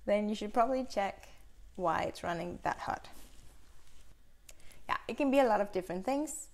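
Young woman, mid-pitch, gravelly fry, casual hands-on instructor, small workshop, close camera mic. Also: normal speed. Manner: relaxed, practical, explains while handling tools. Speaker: chainsaw instructor. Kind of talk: casual how-to demonstration. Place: small bright workshop indoors.

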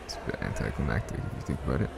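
A man acting a shy, smitten young man in an ASMR roleplay, speaking softly and hesitantly with bashful stammers. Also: a bass voice, sing-song, very fast, vocal fry, heavy background noise, full character voice. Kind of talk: ASMR roleplay, soft one-sided conversational acting.

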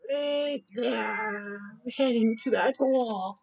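A woman's voice: on the high side, slow, vocal fry, a little mellow, lo-fi sound, slight background noise. A middle-aged woman hosting a children's storytime, reading aloud expressively with chatty asides. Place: outdoor balcony near a park.

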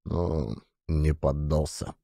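A man's voice: rumbling low, fairly even intonation, slow pace, gravelly, dark tone, dry recording, studio quality. A man reading scripted audiobook narration as a storyteller. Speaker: narrator and actor of an audio play. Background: music.

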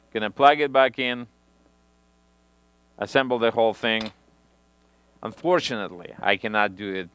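A man in his thirties demonstrating audio gear in a small home studio, talking through the steps in halting phrasing with frequent restarts, on a headset mic. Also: fairly low pitch, fairly bright tone, smooth. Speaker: audio equipment reviewer. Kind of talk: hands-on equipment demonstration.

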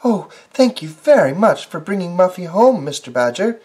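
A man voicing an excitable motherly female cat who speaks high and breathless with gasps. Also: normal speed, slightly rough, heavily acted. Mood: overjoyed. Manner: high, gasping, squealing.

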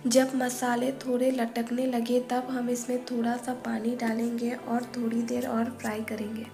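A woman calmly narrating a home cooking recipe step by step, listing each ingredient in a methodical way. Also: fairly high pitch, measured pace, vocal fry. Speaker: cooking channel host. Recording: echoey room voice-over with faint music.

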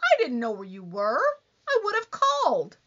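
Woman as whiny nagging wife, bright sharp voice, swooping pitch, radio comedy. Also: huge pitch swings, normal speed, heavily acted. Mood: complaining. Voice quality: whiny, complaining. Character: nagging, put-upon wife, shrill and self-pitying. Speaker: community theater actor. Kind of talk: old-time radio comedy reading. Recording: dull lo-fi recording.

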